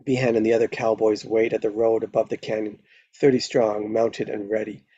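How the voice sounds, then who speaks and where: A man's voice: fairly low pitch, level tone, fairly quick, warm tone, breathy edge, dry recording. A man reading stage directions as narrator at a live screenplay table read, on a dull lo-fi microphone.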